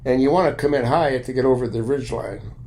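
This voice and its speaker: An older man with an American accent giving a blunt, opinionated monologue in deliberate, broken-up phrases, with a gravelly voice. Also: medium pitch, a little mellow. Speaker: video commentator and private pilot. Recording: light background noise.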